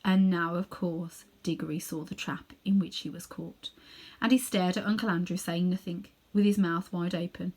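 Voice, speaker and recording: A woman's voice: fairly low pitch, fairly even intonation, normal speed, darkish tone. Woman reading a children's story. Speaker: storybook reader. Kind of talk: scripted narration of a children's novel.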